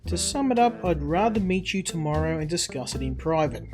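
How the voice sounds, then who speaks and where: A man's voice: on the high side, expressive, fairly quick, creaky, music in the background. A man reading visual novel dialogue as a party major, an old friend who is confiding and insistent.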